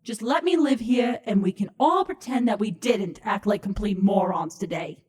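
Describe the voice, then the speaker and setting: A woman's voice: mid-range voice, moderate pace, a little mellow, natural voice. A woman voicing a sarcastic, exasperated young female changeling, an insect-like pony creature, in an audio drama with a whiny, emphatic delivery. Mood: exasperated pleading. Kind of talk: audio drama fan reading.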